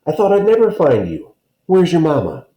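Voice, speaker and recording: A man's voice: fairly high pitch, wildly swooping pitch, moderate pace, mellow, echoey room, pitched above the performer's own voice, low-quality recording. A man voicing a loving, anxious father in a children's storybook. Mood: urgent relief. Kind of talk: children's storybook read-aloud.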